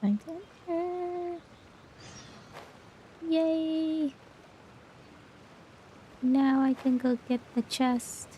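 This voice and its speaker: Slow, mellow woman casually commenting on gameplay. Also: sits high, slight background noise. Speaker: game streamer.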